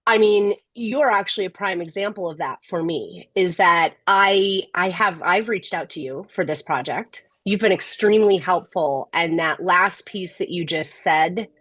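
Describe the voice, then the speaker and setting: A middle-aged woman speaking as a podcast guest, candid and measured, often restarting her sentences. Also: fairly low pitch, a bit bright, husky, no echo. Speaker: podcast guest, tech executive. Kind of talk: casual interview conversation.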